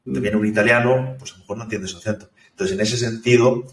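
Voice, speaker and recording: A low-pitched man talks through his experience in a relaxed, halting way, often restarting his sentences. He sounds some distance from the mic, in an echoey room. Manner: thoughtful, hesitant, many restarts and fillers. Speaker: software developer guest. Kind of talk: casual interview conversation.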